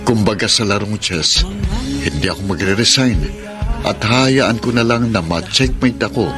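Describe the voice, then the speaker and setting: A man's voice: lowish voice, moderate pace, close to an everyday voice. An actor with a Filipino accent playing a lovelorn, wistful man in a radio drama, earnest and reflective. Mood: resigned yet determined. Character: lovelorn, wistful suitor fighting on for love. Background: background music.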